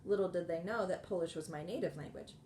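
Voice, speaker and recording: A woman's voice: lowish voice, mellow, a little hiss or hum. Woman reading a memoir in an echoey room. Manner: measured, earnest reading with occasional restarts. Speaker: audiobook reader.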